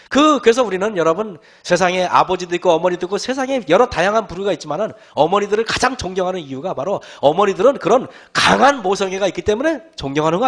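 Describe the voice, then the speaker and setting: A man preaching to his congregation with a voice that sits noticeably high for a man. His throat is hoarse, and he clears it often. He speaks earnestly, repeatedly turning to his listeners with rhetorical questions. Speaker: pastor. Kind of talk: sermon to a congregation.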